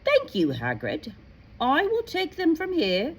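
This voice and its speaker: A woman voicing a stern, formal senior witch professor in a storybook, with declamatory, deliberate delivery. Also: sits high, huge pitch swings, slight background noise, pitched above the performer's own voice, heavily acted. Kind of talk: storybook character voice.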